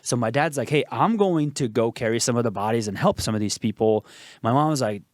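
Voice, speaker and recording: A man in his thirties from Afghanistan, a podcast guest telling his life story in a relaxed way, repeating phrases and fillers. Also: mid-range voice, brisk, no echo, studio quality. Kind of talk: casual storytelling interview. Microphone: podcast studio mic.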